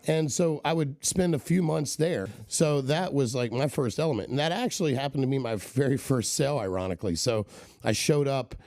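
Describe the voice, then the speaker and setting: A man's voice: mid-range voice, a little fast, a little mellow, no echo. A middle-aged man telling long, rambling stories as a podcast guest, with many "right?" tags. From Kenya and the southern US. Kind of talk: casual storytelling interview.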